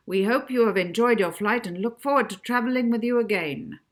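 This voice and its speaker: A woman voicing an airline pilot who makes a cheerful, measured cabin announcement in a storybook reading. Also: a little high, pitched above the performer's own voice, close to an everyday voice. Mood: bright, formal.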